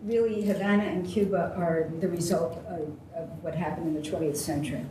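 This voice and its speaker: A woman interprets consecutively, full of ums and uhs, and her phrases trail off softly. Her voice is low for a woman and mellow. She sounds far from the mic, in an echoey hall with faint hiss. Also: steady tone, normal speed. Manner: hesitant, filler-heavy, trailing off quietly at phrase ends. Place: university lecture hall.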